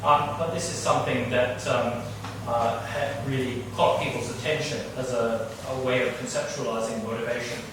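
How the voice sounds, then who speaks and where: A middle-aged man from Canada giving an academic lecture, halting with many fillers and restarts. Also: medium pitch, steady tone, far from the mic. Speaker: guest lecturer. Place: large lecture hall. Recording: echoey hall recorded from afar, background noise.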